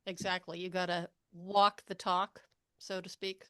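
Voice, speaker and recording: A woman's voice: lowish voice, level tone, a little slow, pushed and bright, clear, resonant tone. A woman hosting a podcast interview, asking questions and encouraging her guest with frequent short affirmations. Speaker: podcast host. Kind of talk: casual interview chat.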